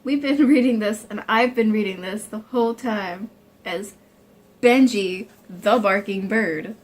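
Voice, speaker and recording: A woman's voice: medium pitch, unhurried. A woman making silly, teasing, casual interjections during a story reading. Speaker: co-reader making side comments.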